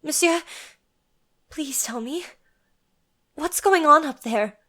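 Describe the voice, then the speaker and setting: A woman acts out a timid young opera singer in an audio drama. Her voice is very high, and she speaks politely and hesitantly, deferring to whoever she addresses. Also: animated, takes it slowly, sharp tone, full character voice. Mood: pleading.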